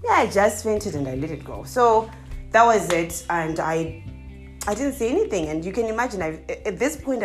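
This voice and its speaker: A young woman from Zimbabwe with a Zimbabwean accent, telling comic stories to her viewers in a casual, animated way with exclamations. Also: medium pitch. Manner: animated, exclaiming, laughing often.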